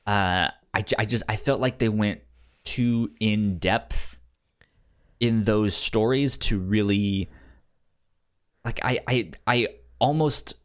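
A man co-hosting a casual film discussion podcast, halting and repeating words as he works out his opinions. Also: on the low side. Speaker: podcast co-host reviewing a film.

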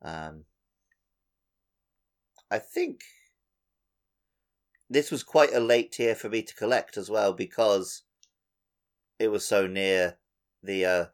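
A man's voice: fairly low pitch, slow, long pauses. A man hosting a podcast in a casual, chatty way. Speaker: podcast host.

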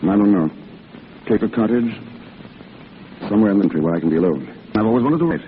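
Man acting resigned war veteran, low pitch, polite and measured, 1940s radio drama, faint hiss. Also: lively intonation, close to an everyday voice. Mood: resigned, guarded. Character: proud, resigned blinded war veteran, former prisoner. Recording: tinny, hissy old radio broadcast.

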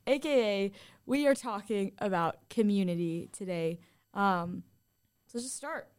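A woman's voice: mid-range voice, a little slow, a little mellow, clean tone. A young woman from Southern California co-hosting a podcast in casual banter, playful, informal and slangy. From Southern California, USA. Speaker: podcast co-host.

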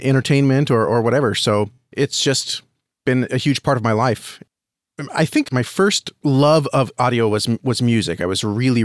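A man's voice: mid-range voice, clear, resonant tone, no echo. Thoughtful man, dry close-miked interview. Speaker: podcast guest, himself a podcaster. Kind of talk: casual interview chat.

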